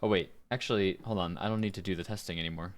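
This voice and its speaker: A man's voice: low-pitched, fairly even intonation, quick pace. A man chatting casually on a livestream, muttering and thinking aloud as he works on audio. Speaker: livestreamer and amateur voice actor. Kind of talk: casual livestream chat while fiddling with audio software.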